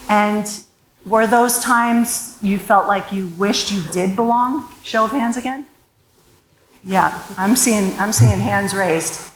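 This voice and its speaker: A woman's voice: medium pitch, fairly bright tone. A woman moderating a conference panel, engaging the audience directly. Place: conference hall. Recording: roomy hall with faint noise.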